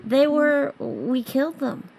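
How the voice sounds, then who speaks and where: A woman's voice: high voice, very animated, slow pace, gravelly, darkish tone, full character voice. A woman playing a careful, hesitant schoolgirl superhero sister in a radio drama. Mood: shaken.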